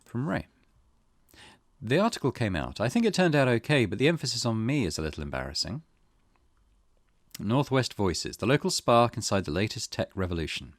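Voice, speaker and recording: Guy doing a lets play read-through, lowish voice, really animated up and down, dry sound. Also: brisk, no room sound. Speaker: video game let's play host. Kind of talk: let's play reading aloud and commentary.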